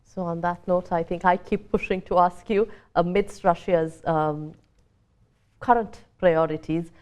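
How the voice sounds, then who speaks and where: A woman from Sri Lanka hosting a TV interview, polite and steady, with filler ums. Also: lowish voice, unhurried.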